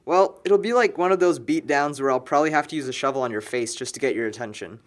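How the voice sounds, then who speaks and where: A man's voice: mid-range voice, quick pace, close to an everyday voice. A man playing a sarcastic, needling young man among longtime friends in an amateur audio drama. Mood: teasing.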